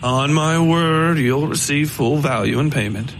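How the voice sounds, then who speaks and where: A man's voice: fairly high pitch, measured pace, noisy background. Man as a polite young salesman, formal patter. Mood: businesslike.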